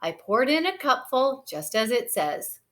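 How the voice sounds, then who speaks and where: A storybook father bear, acted out by a woman reader, firm and a little weary. He grumbles when put upon yet stays playful, and his pitch swings widely as he talks to his cubs. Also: very animated, unhurried, a bit bright. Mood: defensive. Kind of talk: children's picture-book read-aloud.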